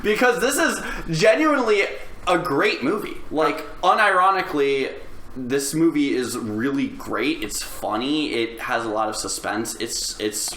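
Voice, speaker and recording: A man with a bright, forward voice chats as a podcast host in a roomy space. He is enthusiastic and emphatic, with a giggly streak, over faint noise. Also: mid-range voice, lively intonation, unhurried. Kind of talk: casual group podcast chat. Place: indoor room with other people.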